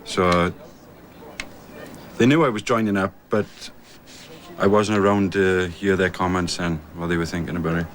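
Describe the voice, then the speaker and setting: A man's voice: deep voice, steady tone, moderate pace, pauses often. A young South Welsh man, a soldier, answering interview questions in a plain, laconic way. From South Wales. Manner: plain-spoken, laconic, trailing off. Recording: noisy field recording.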